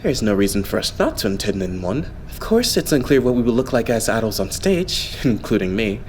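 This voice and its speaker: A man plays an earnest, devout young idol leading his unit. His intonation moves around a lot as he politely lays out plans with steady optimism, in anime dub style over faint noise. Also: lowish voice. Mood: hopeful, reassuring. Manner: polite, explanatory, measured phrasing. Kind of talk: anime game fan dub acting.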